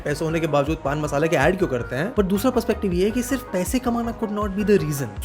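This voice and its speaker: A man narrating a scripted video essay, mixing Hindi and English in a steady, explanatory way. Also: high-pitched.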